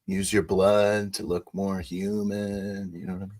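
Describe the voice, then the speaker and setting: A man's voice: low voice, flat delivery, takes it slowly, darkish tone. A man playing a blunt, casual vampire biker leader in a tabletop roleplaying game, drawling his orders. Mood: blunt, coaching.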